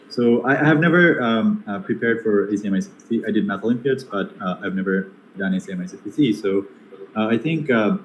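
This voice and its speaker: Young bangladeshi man, lowish voice, hesitant, echoey room. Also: darkish tone. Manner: hesitant, many fillers and restarts. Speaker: guest speaker. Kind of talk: online seminar Q&A. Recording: echoey room with faint noise.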